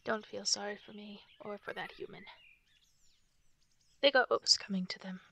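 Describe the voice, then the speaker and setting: A woman voicing a guarded, protective wolf fairy in a soft, halting, often whispered ASMR roleplay. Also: medium pitch, steady tone, vocal fry. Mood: somber, wary. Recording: nature ambience and birdsong noise. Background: forest sounds, birdsong.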